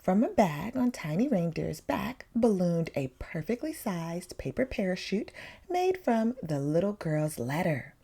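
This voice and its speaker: Woman, children's story reader, expressive narration, lively intonation, slow deliberate pace, dramatic word emphasis. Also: lowish voice, breathy edge. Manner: dramatic emphasis on key words. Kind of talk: expressive children's picture-book read-aloud.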